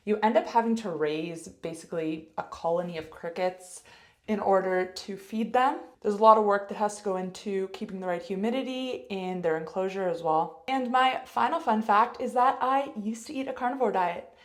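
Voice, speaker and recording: A young woman with a Canadian accent talks in a chatty, upbeat way, trading fun facts as she gets ready. Her voice sits at an ordinary middle pitch and bounces off the walls of an echoey room. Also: moderate pace. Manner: chatty, upbeat, laughs between points. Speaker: health coach. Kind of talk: get-ready-with-me vlog chat.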